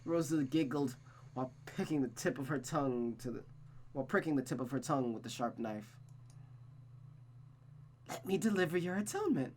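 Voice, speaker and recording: A woman's voice: very deep voice, fairly quick, darkish tone, slight background noise. A woman streaming a visual novel, moving between story narration and casual commentary. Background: music.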